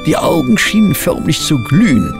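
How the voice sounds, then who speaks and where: An expressive, fussy gentleman companion recounts a detective case in an audio drama. His mid-range voice is lively and creaky, with music behind it. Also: high voice, normal speed, exaggerated voice. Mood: atmospheric narrating. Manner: literary, expressive storytelling. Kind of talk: radio play narration and acting.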